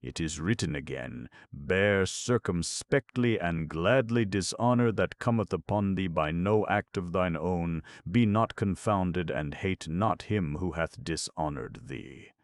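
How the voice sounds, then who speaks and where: A man's voice: deep voice, animated, measured pace, hardly any pauses, no room sound, voice pushed up. A man reading aloud voices an elderly monastery superior, intoning scripture impressively. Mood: solemn.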